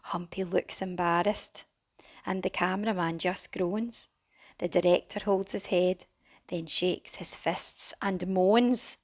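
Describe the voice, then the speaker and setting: A woman reading a rhyming children's storybook as a teacher would, dramatic and animated, with some hushed phrases. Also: lowish voice, slow. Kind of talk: expressive children's storybook narration in rhyme.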